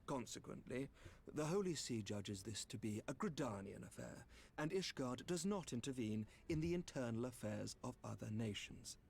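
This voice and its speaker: An actor playing a courteous, grave knight commander in a fantasy game, speaking in formal, measured, archaic phrases with a slightly creaky voice. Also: male voice, medium pitch, lively intonation, darkish tone. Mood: firm, measured. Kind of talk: scripted fantasy game dialogue.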